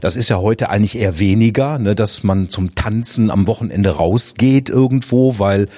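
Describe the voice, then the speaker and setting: A man's voice: fairly low pitch, moderate pace, dark tone. A man hosting an interview, leading into his questions at length in a conversational way. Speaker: interviewer, host. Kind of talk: conversational interviewing with long lead-ins.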